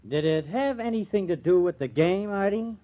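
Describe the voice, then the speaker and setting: A man's voice: sits high, expressive, moderate pace. A man playing a streetwise, skeptical ballplayer in an old-time radio crime drama, slangy and teasing. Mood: suspicious. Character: streetwise, skeptical male ballplayer, needling his teammate. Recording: dull, band-limited old radio recording.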